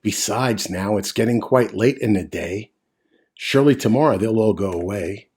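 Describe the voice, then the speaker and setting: A man voicing a kind, patient big male moose in a storybook, gentle and accommodating. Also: fairly low pitch, normal speed, husky.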